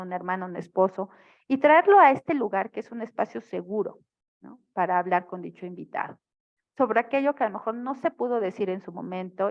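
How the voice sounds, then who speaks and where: Woman, family therapy teacher, explanatory, slightly dull audio. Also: mid-range voice. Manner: explanatory, frequent checking questions. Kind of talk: online lecture.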